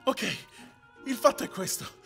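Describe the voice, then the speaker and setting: A man voicing a big, clumsy video game villain in an animated film fan dub, stammering, exclaiming and pleading. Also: unhurried, faint music behind. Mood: frantic, pleading. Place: small room at home, indoors.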